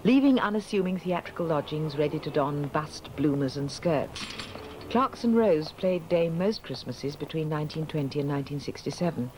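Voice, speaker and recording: A man narrating a documentary in a measured, wry tone. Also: a little high, darkish tone, slight background noise, rough recording.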